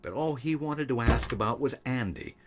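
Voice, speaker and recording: An actor playing a dry, exasperated senior male boss in an audio drama, speaking formally and with measured delivery. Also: medium pitch, faint music behind, close to an everyday voice.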